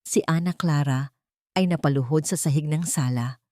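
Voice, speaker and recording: A woman with a low voice narrates a dramatic audiobook. Her phrasing is solemn and deliberate, and the sound is dry, with no room echo. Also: dark tone. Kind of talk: scripted dramatic story narration.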